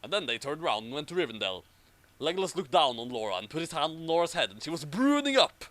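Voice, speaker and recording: Male amateur reader, lively swinging intonation, mock-epic storytelling with wry commentary. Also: fairly high pitch, fast talker, dry recording. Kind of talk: mock-dramatic reading of bad fan fiction with casual asides.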